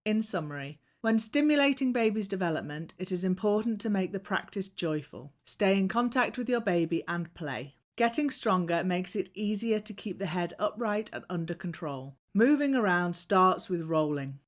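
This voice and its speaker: A woman reading scripted instructional narration for a training film in a measured, clearly phrased way. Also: medium pitch, animated.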